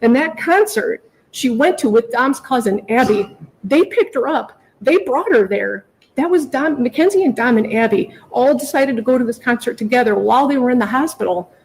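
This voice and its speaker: A woman's voice: on the high side, animated, moderate pace, darkish tone, husky, poor audio. A middle-aged woman making an emotional, unscripted plea to a judge, emphatic and often repeating herself. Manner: pleading, repetitive, emphatic. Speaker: mother pleading in court for her daughter.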